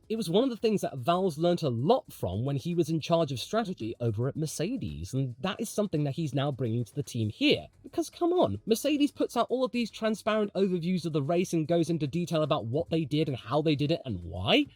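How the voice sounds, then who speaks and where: Man, highly animated intonation, slightly high voice, emphatic conversational commentary, dry close sound. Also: dry recording. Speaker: host of a motorsport commentary channel. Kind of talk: opinionated Formula 1 video commentary.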